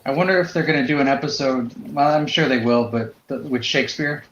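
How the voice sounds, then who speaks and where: A man's voice: mid-range voice, fairly quick, a bit bright, slight background noise. Echoey, dull-sounding man chatting casually. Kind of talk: casual chat. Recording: roomy echo, dull sound.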